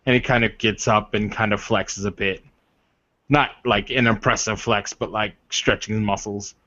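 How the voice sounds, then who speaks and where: A man's voice: steady tone, normal speed, sharp tone. A man casually narrating his character's actions in a tabletop roleplaying game. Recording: dull, roomy low-fidelity voice recording.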